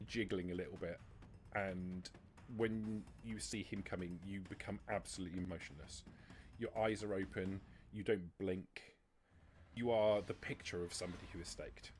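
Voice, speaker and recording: A man working as a game master, narrating a tabletop roleplaying game in a descriptive, dramatic way with deliberate pauses. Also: low voice. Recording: noticeable background noise.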